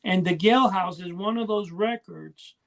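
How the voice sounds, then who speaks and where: A man with a noticeably high voice talks in a loose, conversational way, like a collector on a podcast. He rambles, doubling back and drifting away from his own point. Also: moderate pace, creaky. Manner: rambling, often losing his thread. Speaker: podcast co-host. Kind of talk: casual chat about collecting.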